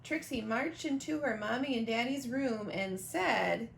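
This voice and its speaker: A woman reading a children's picture book aloud expressively, pausing to talk directly to young listeners about the pictures. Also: a little high, creaky. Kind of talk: animated children's storybook read-aloud with asides. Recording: roomy echo, faint background noise.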